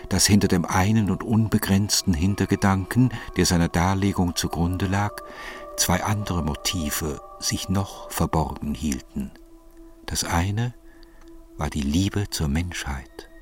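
Low-voiced man narrating literary fiction. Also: unhurried, far from the mic, music in the background.

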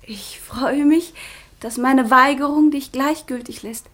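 A woman's voice: high voice, slow, a bit bright, full character voice. An actress playing a proud, resolute young noblewoman, firm and clipped, in a radio play. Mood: cool, resolute. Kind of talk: classic radio play acting.

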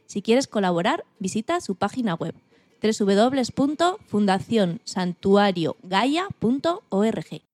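A woman presenter from narrates from a script. The voice is dark and rounded at a middle pitch, recorded very dry. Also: sing-song, measured pace, no echo. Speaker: radio show presenter.

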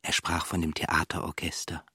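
A man's voice: sits low, completely flat delivery, normal speed, bright, forceful tone, breathy edge, no room sound, clean studio sound, heavily acted. An actor playing an ashamed, estranged son who recalls his family as narrator of a radio drama, brooding and restrained. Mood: detached recollection.

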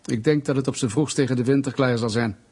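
An actor playing a patronizing, sardonic Soviet official in a radio drama, mock-polite and bullying. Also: male voice, mid-range voice, fairly even intonation, fast, a little mellow, husky, close to an everyday voice. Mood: condescending, mocking. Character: menacing, condescending male Soviet state official, bureaucratic bully.

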